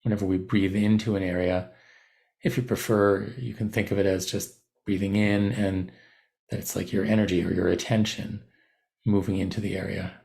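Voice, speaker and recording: Low creaky man guiding a meditation. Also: flat delivery. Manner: unhurried, gently instructive with pauses mid-phrase. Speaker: meditation teacher. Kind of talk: guided body scan meditation.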